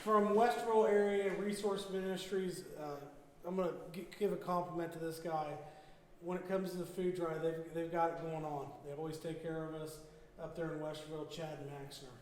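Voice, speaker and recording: A man's voice: on the high side, steady tone, fairly quick. A man serving as emcee, casually introducing each speaker and hesitating with fillers. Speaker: event emcee. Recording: reverberant hall, faint noise.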